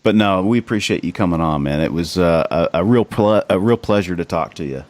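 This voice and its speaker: A man's voice: low voice, a little fast, a little mellow, dry recording. An American man co-hosting a podcast, chatting casually with loose, repeated words and some swearing. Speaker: podcast co-host. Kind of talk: casual chat, profane.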